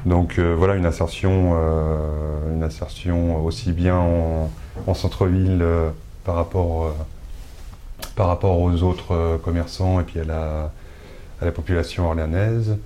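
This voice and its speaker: A man with a very deep, mellow voice answers interview questions. He speaks in a flat, even tone and searches for words often, filling pauses and restarting his sentences. He is a shop owner from Brittany. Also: slight background noise. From Brittany, France. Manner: hesitant, many filler pauses and restarts.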